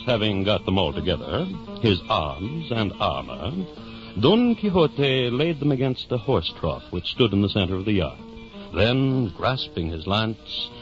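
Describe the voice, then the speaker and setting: A man narrating a literary tale in a measured, formal 1950s radio storytelling manner. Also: medium pitch. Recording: muffled 1950 radio recording.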